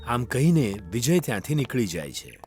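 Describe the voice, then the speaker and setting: A man's voice: mid-range voice, big pitch swings, normal speed, darkish tone, soft background music. A man narrating a scripted moral story for children.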